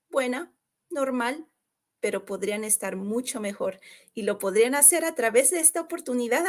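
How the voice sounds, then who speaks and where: A woman's voice: a little high, fairly bright tone. Upbeat woman hosting a video call. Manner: upbeat and encouraging, many restarts. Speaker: host and business coach. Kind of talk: online group video call, motivational pitch.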